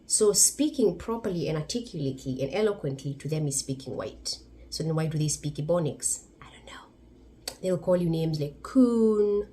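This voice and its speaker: Kenyan-accented woman vlogger, lower pitch, emphatic and blunt. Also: lively intonation, moderate pace, dark tone, a little hiss or hum. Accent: Kenyan English. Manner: emphatic, blunt, laughs between points. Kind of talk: opinion vlog commentary.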